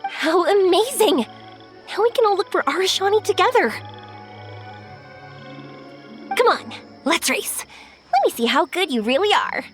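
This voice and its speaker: Kind upbeat young anime game girl, high voice, super expressive and earnest, up and down a lot. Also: moderate pace. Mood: playful. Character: cheerful, kind-hearted young woman, supportive and playful. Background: game music.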